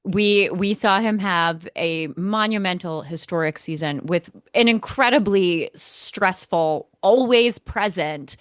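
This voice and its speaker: A woman's voice: lowish voice, takes it slowly, hardly any pauses, clear, resonant tone, dry recording, clean studio sound. A woman co-hosting a motorsport show in casual discussion, speaking in an opinionated, emphatic way.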